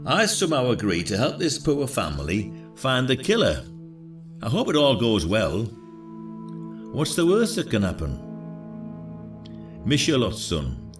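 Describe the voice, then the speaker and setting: An actor playing a reluctant, older retired detective in a podcast drama, dry and weary with an air of authority. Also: male voice, mid-range voice, wildly swooping pitch, a little slow, pauses often, music in the background. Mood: weary, resigned. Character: retired village police detective, gruff and reluctant.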